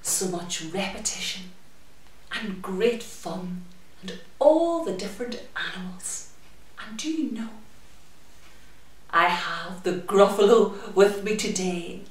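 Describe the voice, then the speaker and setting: A middle-aged woman hosting children's story time in an animated, sing-song manner, talking and singing to small children. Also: medium pitch, slow pace, long pauses. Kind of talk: children's story time with puppet chat and songs. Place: indoors, small room in a community library. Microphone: camera a few metres away. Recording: very echoey room, distant microphone.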